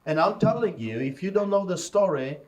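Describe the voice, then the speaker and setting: Fiery Polish-accented preacher, higher voice. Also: male voice, animated, fairly quick, noisy background. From Poland. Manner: forceful, mocking, rising to shouts. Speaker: street pastor.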